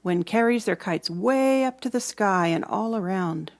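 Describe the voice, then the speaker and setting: A woman narrates a children's storybook in a mid-pitched voice. She reads expressively, leaning on dramatic words and dropping into hushed moments. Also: animated, unhurried. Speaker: storybook reader.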